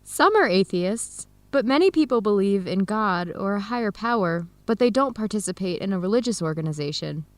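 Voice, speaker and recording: A woman's voice: medium pitch, animated, brisk, few pauses, no echo, close to an everyday voice. A woman acting an earnest young adoptee in a radio drama, speaking openly with an American accent. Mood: explaining.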